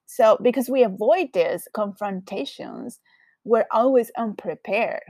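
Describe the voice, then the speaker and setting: Colombian lady hosting her podcast solo, a bit of vocal fry, super dry close sound, thoughtful and candid. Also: fairly high pitch, expressive, a little slow, darkish tone, no echo. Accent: Colombian Spanish. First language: Spanish. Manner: reflective, candid, with frequent fillers. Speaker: podcast host.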